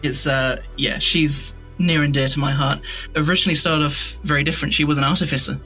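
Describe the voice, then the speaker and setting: Bright-voiced man chatting casually. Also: fairly high pitch, steady tone, fairly quick, faint music behind. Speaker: podcast guest, fantasy audio drama creator. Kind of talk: casual interview chat about creative work.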